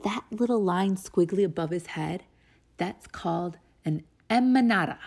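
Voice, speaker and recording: A woman's voice: on the low side, unhurried, a little mellow, husky. An American woman teaching young children through a read-aloud and drawing lesson, with encouraging, chatty asides. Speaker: teacher reading to a kindergarten class.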